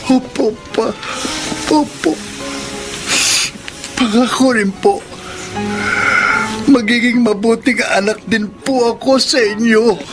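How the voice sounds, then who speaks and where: Radio drama: wounded young Filipino son, high-pitched, direct and emotional, over clear music. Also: male voice, takes it slowly, pauses often, low-quality recording. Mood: softened, contrite. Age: young adult. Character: hurt, resentful young adult son, softening.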